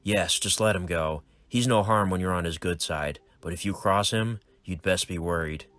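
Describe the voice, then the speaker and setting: A man voicing a calm, measured older male mentor. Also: deep voice, no echo.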